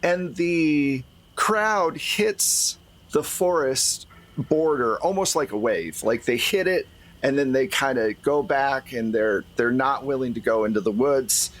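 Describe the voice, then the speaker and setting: A man's voice: mid-range voice, slow, rough recording. A man running a tabletop roleplaying game as game master, narrating and explaining in a conversational way.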